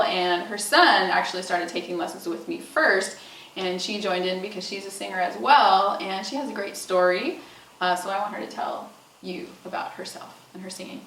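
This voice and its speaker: A woman's voice: medium pitch, fairly even intonation, normal speed, fairly bright tone, distant mic. A woman voice coach hosting a casual interview, upbeat and encouraging, recorded roomy from off-mic with faint room noise.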